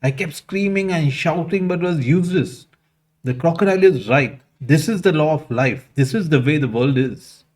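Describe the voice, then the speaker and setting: A man's voice: fairly high pitch, lively intonation, normal speed, darkish tone. A man voicing a helpless, plaintive parent bird resigned to its lot in a told fable. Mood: distressed, resigned.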